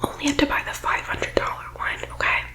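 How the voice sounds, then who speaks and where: A woman's voice: fast, sharp tone. Whispering woman as a pushy MLM boss. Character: pushy, self-important MLM boss woman leading team call. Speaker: ASMR roleplay performer. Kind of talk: soft-spoken whispered ASMR comedy roleplay.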